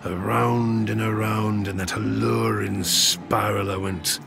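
An actor playing a rueful, ruined old harpooner and gambler with a British accent, spinning a folksy, gravelly-voiced yarn in an audio drama. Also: male voice, lowish voice, level tone, slow. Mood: haunted, regretful. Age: older. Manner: folksy, rustic, rambling tavern yarn-spinning. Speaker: storyteller. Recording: studio drama with music behind. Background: music.

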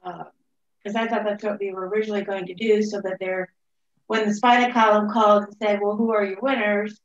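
A woman's voice: medium pitch, flat delivery, a little fast. A woman giving an informal report as a board member on a video call from an indoor room. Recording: echoey, muffled video call audio.

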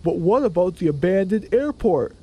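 A man's voice: high voice, sing-song, normal speed, dark tone, breathy edge, pitched above the performer's own voice, exaggerated voice. A man voicing a concerned male chicken in a cartoonish radio play.

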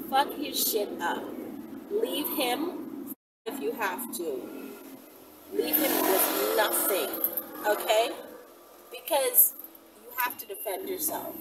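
A woman in her thirties giving casual advice to camera in a blunt, emphatic, sweary way. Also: sits high. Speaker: vlog host. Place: inside a car on the road. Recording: distant mic, clear car road noise. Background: vehicle noise.

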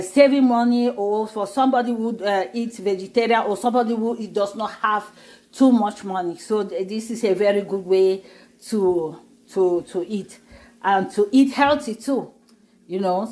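A woman's voice: fairly high pitch, darkish tone. Chatty woman explaining a home recipe. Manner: chatty, explains step by step with many fillers. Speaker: cooking vlogger. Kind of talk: casual cooking demonstration. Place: home kitchen.

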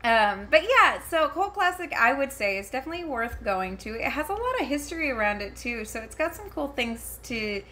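A woman's voice: high-pitched, big pitch swings, moderate pace, hardly any pauses, bright, forceful tone. A woman, chatting casually at home, rambling with lots of fillers and restarts and giggly asides. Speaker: vlogger reviewing a convention. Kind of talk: casual talking-to-camera chat. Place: home, her daughter heard elsewhere in the house.

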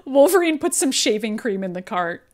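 A woman's voice: on the high side, very animated, normal speed. A woman at a casual live table read on a video call, reading stage directions and commenting wryly. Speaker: podcast host. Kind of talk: script narration with casual banter. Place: home room on a group video call.

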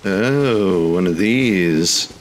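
A man's voice: low-pitched, animated, extremely slow, a little hiss or hum. A man giving casual let's play commentary as a gaming host, talking back to the game's characters. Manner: talks back to game characters.

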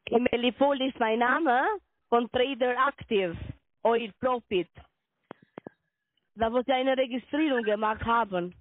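A woman making a scripted sales call as an agent, insistent and repetitive, with halting non-native phrasing. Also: on the high side. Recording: narrow tinny phone line.

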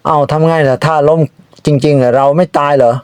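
A man voicing a foolish, fearful tiger in an animal folk tale, moaning in drawn-out exclamations. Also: a little high, steady tone, darkish tone, clear, resonant tone, no echo, pitched above the performer's own voice, lightly acted. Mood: frightened. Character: foolish, fearful tiger, wailing and easily tricked.

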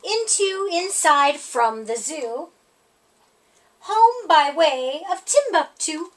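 A middle-aged woman reads a picture book aloud at storytime. Her voice sits high and swings widely in pitch. She takes her time, leaning on the rhymes in a sing-song way. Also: very animated, slow pace, fairly bright tone. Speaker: storytime reader.

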